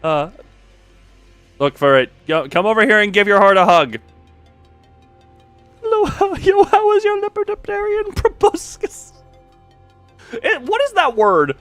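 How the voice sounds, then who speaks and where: A man livestreaming a story game, reading aloud and commenting in an excitable, sweary, giggly way. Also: extremely high pitch, unhurried, long pauses, no room sound. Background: music.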